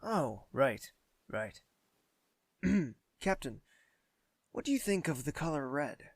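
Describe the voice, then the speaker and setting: Young royal prince, airy, refined, hesitant, fussy, mid-pitched, audio drama. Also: very animated, slow, no echo, exaggerated voice. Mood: distracted. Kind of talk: scripted audio drama acting.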